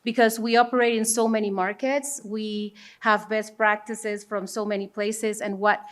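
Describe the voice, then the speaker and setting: A woman's voice: mid-range voice, little pitch movement, normal speed, fairly bright tone. A woman from Panama, a native Spanish speaker and corporate executive, talking on a conference panel before an audience.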